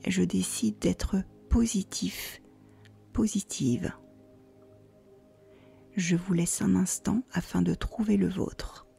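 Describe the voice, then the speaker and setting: A woman guiding a relaxation meditation in a soft, breathy near-whisper, calmly giving soothing instructions with pauses. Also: mid-range voice. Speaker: yoga nidra guide. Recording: faint music behind the voice.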